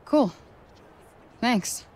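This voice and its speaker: A woman's voice: drawn-out words, darkish tone. A woman playing a sarcastic, streetwise young heroine in a fantasy video game, speaking casually. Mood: wry, guarded. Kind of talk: naturalistic video game acting.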